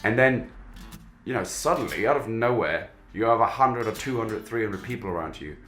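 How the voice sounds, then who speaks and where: A man's voice: lowish voice, animated, moderate pace, fairly bright tone, reverberant, faint music behind. A man in his twenties from Germany, a working musician talking reflectively in a documentary interview. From Germany, moved to England at fifteen. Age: 20s. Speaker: busker, professional musician.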